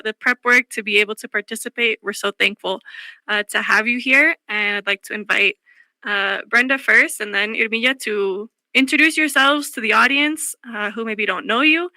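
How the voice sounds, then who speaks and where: A woman co-hosts a conversational radio show. Her voice sits high and is strikingly bright and forward. It is recorded dry, with little room sound. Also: no echo. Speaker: co-host.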